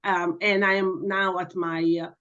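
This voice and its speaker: A woman's voice: medium pitch, little pitch movement, normal speed, pushed and bright. A woman in her sixties from Greece, a guest hypnotherapist chatting and telling her story in a podcast interview. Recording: muffled lo-fi remote audio.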